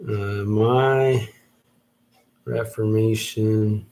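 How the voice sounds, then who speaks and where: A man's voice: fairly low pitch, fairly even intonation, extremely slow, warm tone. A man hosting his own show, giving a casual solo update as he thinks aloud, rambling with restarts. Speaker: podcast host and rap artist.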